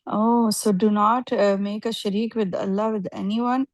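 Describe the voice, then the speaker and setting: A woman's voice: medium pitch, fairly even intonation, normal speed, darkish tone, no echo. Hesitant female student in a dry recording. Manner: hesitant, tentative, sounding out words. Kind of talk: reading aloud and translating in a lesson. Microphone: online video call.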